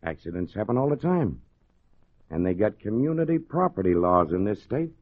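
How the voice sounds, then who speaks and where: An actor playing a sly, insinuating streetwise crook who goads others, in a 1940s radio crime drama. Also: male voice, fairly low pitch, very animated, moderate pace. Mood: sly persuasion. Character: sly, scheming crook goading his pal toward murder. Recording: tinny, narrow old radio recording.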